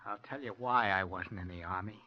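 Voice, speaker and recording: An actor playing a disturbed, paranoid young handyman who turns quietly menacing in a 1940s radio drama. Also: low voice, fairly quick, close to an everyday voice. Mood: bitter. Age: young adult. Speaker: unstable handyman. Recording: muffled 1940s radio broadcast.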